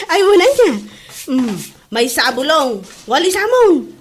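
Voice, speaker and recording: A woman's voice: extremely high pitch, sing-song, slow, slight background noise, exaggerated voice. A woman playing a stubborn, teasing young street sweeper who loves to sing, in an Ilocano comedy drama. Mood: playful.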